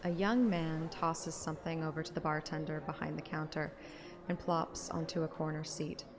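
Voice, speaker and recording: A woman's voice: low voice, fairly even intonation, normal speed, noisy background. A woman streamer reading a game story aloud like an audiobook. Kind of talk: dramatic narration with casual asides. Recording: loud music behind the voice.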